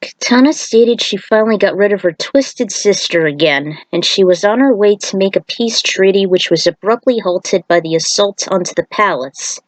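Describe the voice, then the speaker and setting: A woman's voice: mid-range voice, normal speed, few pauses, no room sound, poor audio. A woman reading scripted story narration as a storyteller, recorded close as a voice-over.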